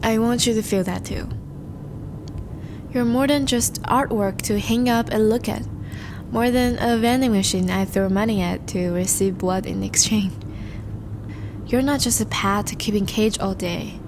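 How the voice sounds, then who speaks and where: Over a loud rumble, a woman plays a charming vampire suitor in an intimate audio drama. The speech is slow and formal, with tender, courtly devotion aimed straight at a beloved listener. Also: lightly acted. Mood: earnest, reassuring. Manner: formal, courtly, gentle phrasing with soft sighs. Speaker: audio roleplay voice actor. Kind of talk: scripted romantic audio roleplay, addressed to the listener.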